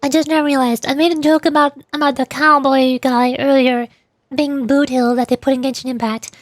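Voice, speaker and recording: A woman's voice: high voice, little pitch movement, moderate pace, no echo, clean studio sound. A woman streamer chatting in a playful, rambling way during a casual gaming livestream. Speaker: streamer, VTuber. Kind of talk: casual gaming livestream chat with voiced dialogue.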